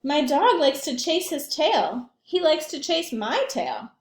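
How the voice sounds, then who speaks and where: A woman's voice: high voice, sing-song, slow, heavily acted. A woman reading aloud as a spirited young boy in a storybook, earnest and emphatic. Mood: proud, eager. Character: spirited young boy longing for his own name. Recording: echoey room.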